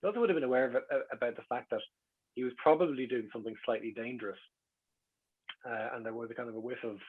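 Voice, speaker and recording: Irish guy, middle aged, talks quick n husky, rambling wry stories, bit of a dull recording. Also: mid-range voice. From Ireland. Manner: rambling, self-deprecating, wry. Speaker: interview guest. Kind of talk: casual anecdotal reminiscence.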